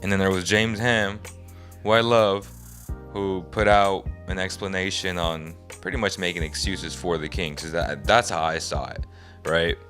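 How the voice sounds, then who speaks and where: Raspy deep-voiced man, hoarse from a cold. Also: steady tone, a little slow, a bit bright, soft background music. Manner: frustrated, emphatic, thinking aloud. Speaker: podcast host. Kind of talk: sports opinion commentary.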